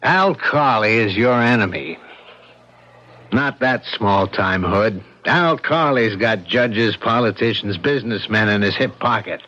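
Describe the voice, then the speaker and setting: Cynical veteran police sergeant, dull radio sound. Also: male voice, fairly low pitch, expressive, measured pace, bright, forceful tone, heavily acted. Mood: earnest, warning. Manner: plain-spoken, confiding, world-weary. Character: wise, cynical, experienced male police sergeant. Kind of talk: old-time radio drama acting. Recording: dull lo-fi radio drama recording.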